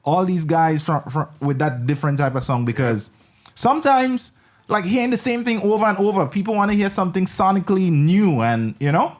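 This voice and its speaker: A man from the Caribbean with a Caribbean accent, hosting a casual on-air interview and joking playfully, his voice leaping up in pitch. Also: lively intonation, brisk. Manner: playful, jumps high in pitch when joking. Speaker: radio show host.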